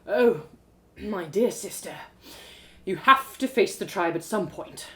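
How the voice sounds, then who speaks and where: A woman voicing a stern, scolding male elf warrior with a pompous, drawn-out delivery in a fantasy story. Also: mid-range voice, animated, pushed and bright, slight background noise.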